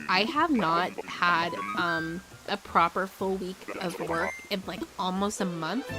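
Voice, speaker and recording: A young woman chatting casually on a gaming live stream, rambling with lots of fillers and bursting into excited squeals, her voice still slightly hoarse. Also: mid-range voice. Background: game music.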